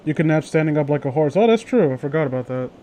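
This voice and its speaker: A man's voice: on the high side, lively intonation, quick pace, dark tone, a little hiss or hum. A man hosting a casual read-along with friends, joking in a crude, teasing way. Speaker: host reading horror stories aloud with friends.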